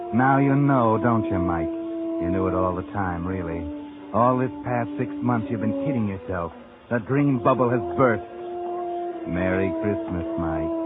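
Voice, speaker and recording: An actor playing a sardonic, ominous narrator who taunts and insinuates in the style of 1940s radio mystery. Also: male voice, fairly low pitch, lightly acted. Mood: mocking, ominous. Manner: taunting, insinuating, addressing the character as "you". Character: sardonic, ominous male narrator needling the main character. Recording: tinny old radio recording over loud music.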